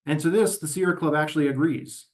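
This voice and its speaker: A man speaking as an advocacy representative, delivering prepared testimony at a regulatory hearing in a measured, methodical and formal way. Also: fairly high pitch, a little mellow. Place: virtual meeting.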